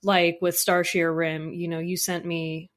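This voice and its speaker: A woman with a fairly low voice talks casually as an interview guest. She is a composer, and her delivery is informal and enthusiastic. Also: little pitch movement, measured pace. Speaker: guest, composer for audio dramas.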